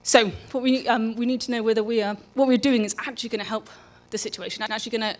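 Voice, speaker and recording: A woman giving an informal public lecture into a live event microphone, speaking in a halting, self-interrupting way. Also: on the high side, steady tone. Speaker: public programs manager and lecturer. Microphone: microphone for live streaming.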